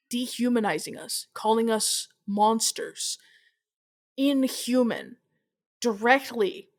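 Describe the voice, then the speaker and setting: Higher-voiced woman, blunt interview host. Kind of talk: casual interview talk.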